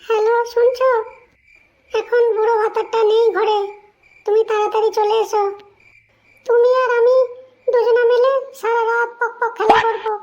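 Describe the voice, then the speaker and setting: A woman voicing a shrill, flirty, dissatisfied wife in a comedy cartoon, complaining and coquettish, on dull lo-fi audio. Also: flat delivery, normal speed, pauses often, darkish tone. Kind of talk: amateur comedy cartoon dialogue. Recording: dull lo-fi audio with faint noise.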